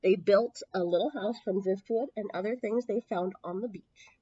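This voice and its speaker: A woman's voice: mid-range voice, normal speed, dark tone, slightly rough, no room sound. A woman reads a children's story aloud in a mid-range voice. She leans on key words and stretches them out for effect. The sound is dry and a little lo-fi, with the top end dulled. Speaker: children's storyteller at a public library. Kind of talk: expressive picture-book read-aloud for young children. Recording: dull lo-fi, close dry sound.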